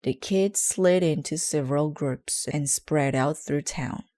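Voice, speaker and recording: A woman narrating a children's book aloud in a measured, clearly phrased way. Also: low voice, warm tone. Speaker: storybook reader.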